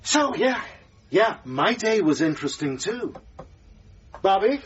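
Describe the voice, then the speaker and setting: Sardonic male theatre manager for radio drama: highish, very animated, dramatic and needling. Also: slow pace, exaggerated voice. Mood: sarcastic, irritated. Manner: sarcastic, emphatic, dramatic. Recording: distant, dull, faintly noisy radio recording.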